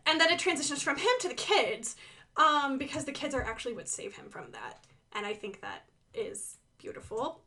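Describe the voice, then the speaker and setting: A woman's voice: high voice, very animated, moderate pace, creaky, bright, forceful tone. A woman giving casual, enthusiastic commentary on her own animation, playful, with sing-song asides and dramatic emphasis.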